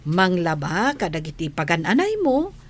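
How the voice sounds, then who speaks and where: A woman playing a caring, gently worried household nanny in a radio drama, with a gentle, doting manner. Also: fairly low pitch, sing-song, normal speed. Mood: fond, doting. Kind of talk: Filipino radio drama acting.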